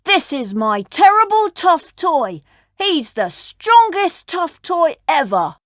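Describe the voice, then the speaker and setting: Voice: extremely high pitch, very animated, slow pace, a bit bright, dry recording, clean studio sound, pitched above the performer's own voice, heavily acted. A storybook narrator voicing a small, shy schoolboy who is proud of his toy. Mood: boastful.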